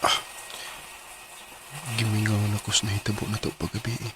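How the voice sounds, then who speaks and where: An actor playing a gentle, heartsick young boyfriend in a radio drama, speaking softly and hesitantly with a Cebuano Filipino accent. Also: male voice, on the low side, completely flat delivery, brisk, close to an everyday voice. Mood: troubled. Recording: clearly noisy radio drama track.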